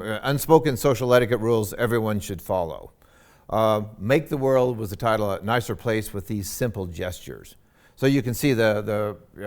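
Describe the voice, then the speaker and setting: A man giving a university lecture on etiquette, with a loud, emphatic voice and frequent fillers. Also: medium pitch.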